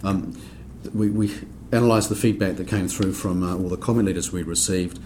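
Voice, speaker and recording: A man with a New Zealand accent and a deep, low voice talks through technical points at a steady, explanatory pace on a podcast. He hesitates often with ums and restarts. Faint hiss sits under the voice. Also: a little fast. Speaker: accounting standards board member. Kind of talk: podcast discussion.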